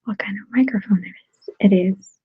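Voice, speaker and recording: Breathy whispering woman with Russian accent. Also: medium pitch, moderate pace. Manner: soft whispering, giggly, answers viewer comments. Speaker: ASMR channel host. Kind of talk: whispered ASMR live chat. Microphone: desktop USB microphone. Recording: dull, dry close-mic sound.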